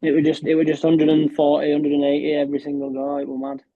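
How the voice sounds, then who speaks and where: A man's voice: on the high side, monotone, quick pace, no echo. A man with a Yorkshire accent, a professional darts player, chatting modestly as a guest on a podcast, with lots of restarts. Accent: Yorkshire English. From Yorkshire, England. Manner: chatty, modest, frequent restarts and "were" for "was". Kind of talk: casual podcast interview. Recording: tinny phone-like line, dry.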